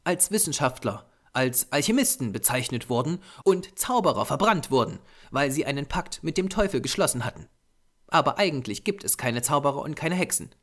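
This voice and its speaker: A man's voice: on the high side, animated, brisk, fairly bright tone, voice pushed up. A man voicing a small, grey-haired Japanese doctor, a patient, earnest occultist lecturing formally in an audiobook. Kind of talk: audiobook character voice.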